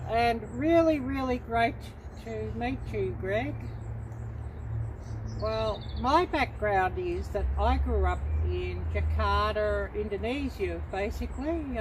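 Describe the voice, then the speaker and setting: A middle-aged woman from Australia hosting a casual livestream, chatting unhurriedly to viewers with many pauses and ums. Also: medium pitch, background noise. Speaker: livestream host.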